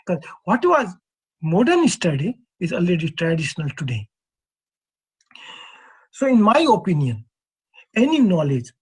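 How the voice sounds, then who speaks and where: A man in his fifties from East Nepal with a Nepali accent, giving a measured, earnest conference presentation as an earthquake engineer. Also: sits high, slightly rough, poor audio. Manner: measured, earnest, poses questions to the audience.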